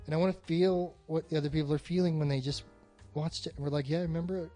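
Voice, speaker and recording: Opinionated, swearing man, slightly high voice. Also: steady tone, quick pace, warm tone, soft background music. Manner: profane, opinionated, emphatic. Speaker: podcast co-host. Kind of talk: casual film discussion chat.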